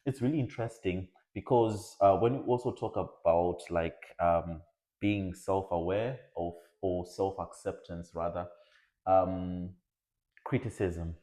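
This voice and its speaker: A man's voice: sits low, a little slow, dark tone, reverberant. A man in a casual podcast conversation, speaking thoughtfully and hesitantly with frequent fillers.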